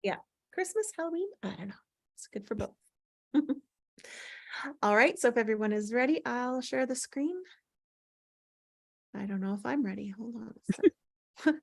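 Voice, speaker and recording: A woman's voice: fairly high pitch, expressive, a little slow, gravelly, pauses often. A woman hosting an online table read in casual, slightly scattered chat over a video call.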